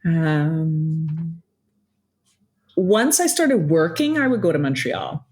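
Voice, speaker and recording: A middle-aged woman from Quebec with a low voice, chatting casually as a musician guest, often stopping and restarting. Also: animated, slow pace, a little mellow. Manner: hesitant, many restarts and fillers. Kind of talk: casual interview chat.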